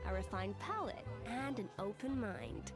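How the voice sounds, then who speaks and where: A woman's voice: medium pitch, animated, measured pace. A woman playing a self-assured master chef in a video game, speaking precisely and formally. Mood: composed, assured. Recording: clear music under the voice.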